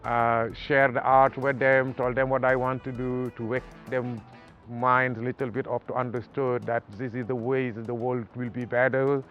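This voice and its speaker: A man from Senegal with a Senegalese accent, talking haltingly about his art in a documentary interview, with many pauses and fillers. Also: medium pitch, flat delivery, dull audio, soft background music. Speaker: artist.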